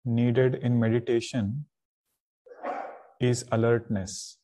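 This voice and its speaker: Male meditation teacher, soft-edged dark tone, unhurried delivery in calm short phrases with pauses. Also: medium pitch. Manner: calm, deliberate, pausing between short phrases. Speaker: spiritual teacher leading guided meditation. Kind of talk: guided meditation instruction.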